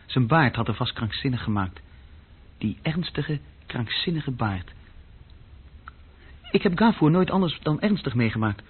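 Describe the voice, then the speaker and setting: A man's voice: medium pitch, lively intonation, unhurried, pauses often, slight background noise, close to an everyday voice. An actor playing a restless young man telling of his adventures in a conversational radio-drama style. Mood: earnest, confiding. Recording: dull, lo-fi old radio recording.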